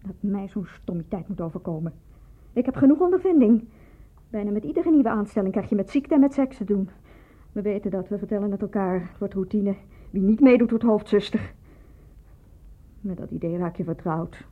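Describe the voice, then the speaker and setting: A woman's voice: medium pitch, lively intonation, a little fast, natural voice. A woman in a radio drama playing a wary, wounded nurse in her thirties who speaks in a defensive, confessional way. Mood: bitter confession. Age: 30s. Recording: dull lo-fi tinny radio play recording.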